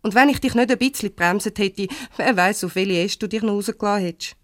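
An actress playing a defiant, quick-tongued young employee in a radio play, sharp and sarcastic, with a Swiss German accent. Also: female voice, mid-range voice, no echo, studio quality, close to an everyday voice. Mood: indignant.

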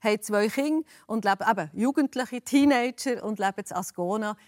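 A woman with a Swiss German accent hosting a Sunday radio talk show, lively and friendly with her guests. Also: a little high, normal speed, no echo, clean studio sound. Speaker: radio host. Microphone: studio microphone.

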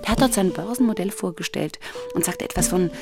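A woman with a lowish voice speaks over clear music. Her manner is pointed and questioning, in a conversational radio-play style. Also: brisk. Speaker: sceptical questioner.

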